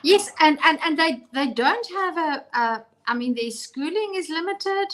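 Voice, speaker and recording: Woman about fifty cohosting a streamed talk show, chatty, lots of restarts, slightly high voice. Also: animated, measured pace, fairly bright tone, slight background noise. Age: 50s. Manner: chatty, self-mocking, many restarts. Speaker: co-host.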